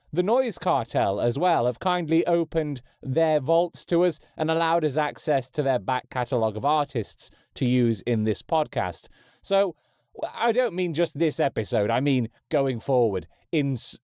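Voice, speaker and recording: A man's voice: mid-range voice, a little mellow, no room sound. A man presenting a podcast from a script with wry, wordy, self-deprecating asides, close on the mic. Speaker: podcast host.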